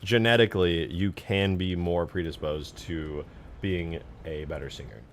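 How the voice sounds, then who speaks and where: A man's voice: low-pitched, fairly even intonation, unhurried, a little hiss or hum. A man chatting casually and informally on a livestream, replying to viewers in a slangy, self-deprecating way. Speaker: streamer, game modder. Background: background music.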